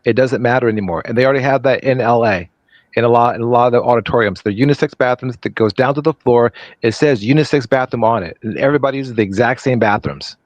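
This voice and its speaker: A man on a debate panel who talks in a brisk, dismissive way. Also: medium pitch, no room sound, lo-fi sound. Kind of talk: casual debate.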